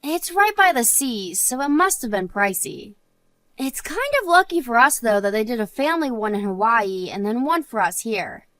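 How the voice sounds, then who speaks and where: A woman's voice: on the high side, lively intonation, normal speed, fairly bright tone, no room sound. A woman playing an earnest married woman and loyal friend in a scripted story drama. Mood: calm, chatty.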